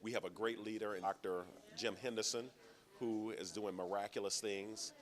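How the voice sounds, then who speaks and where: Deep-voiced man speaking earnestly. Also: steady tone, moderate pace. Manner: earnest, lofty phrasing. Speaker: university system official. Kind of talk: interview statement to camera.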